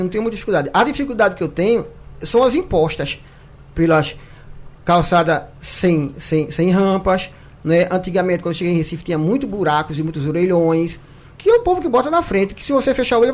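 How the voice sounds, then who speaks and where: A man's voice: high-pitched, lively intonation, brisk. A man, a guest on a radio debate, telling stories in a jokey, chatty way and often repeating words as he starts. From Paraíba, Brazil. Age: 50s. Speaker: guest, singer. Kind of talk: radio studio debate, casual storytelling.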